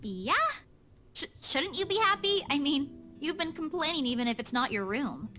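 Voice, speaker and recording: A flustered, earnest young woman from a visual novel game. Her voice sits very high and swings around a lot as she stammers and apologises, with music clearly playing behind her. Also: lively intonation, moderate pace, sharp tone. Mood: defensive.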